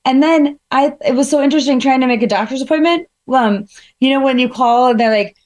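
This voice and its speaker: A woman with a slightly harsh voice, talking through feelings and examples in a relaxed, reflective conversational way, with frequent fillers. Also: sits high, a little fast. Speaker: guest speaker. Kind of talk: casual conversational advice.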